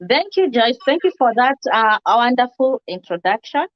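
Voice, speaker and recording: A woman's voice: fairly high pitch, sing-song, moderate pace, highs cut off. A woman hosting an interview in a casual, upbeat way, greeting guests and viewers. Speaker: host.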